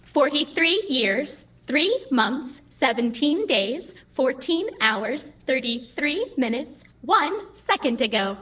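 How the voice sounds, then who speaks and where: A woman's voice: high-pitched, sing-song, slow pace, hardly any pauses, fairly bright tone, slightly rough, heavily acted. A woman playing a perky, literal-minded, slightly testy computer assistant in an audio drama, chirpy, officious and pedantically precise. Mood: precise, flat. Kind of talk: scripted audio comedy-drama acting.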